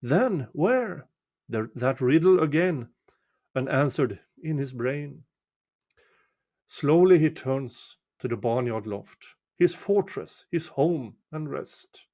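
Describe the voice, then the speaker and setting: A man reading a folk poem aloud as a storyteller, measured and deliberate, pausing between short phrases. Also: mid-range voice, expressive, warm tone. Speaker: amateur reader of a poem. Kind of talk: slow, dramatic storytelling recitation of a winter folk poem.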